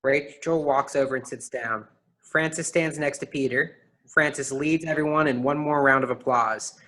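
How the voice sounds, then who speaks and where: A narrator reads stage directions for a staged play reading. The voice sits at a middle pitch. The delivery is scripted, describing action between the actors' parts. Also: steady tone, measured pace, bright, forceful tone, rough recording.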